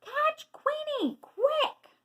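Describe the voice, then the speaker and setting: A woman voicing a very loud, excitable female duck in a children's storybook, shouting and quacking. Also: extremely high pitch, drawn-out words, pitched above the performer's own voice, heavily acted. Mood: urgent. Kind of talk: children's storybook read-aloud.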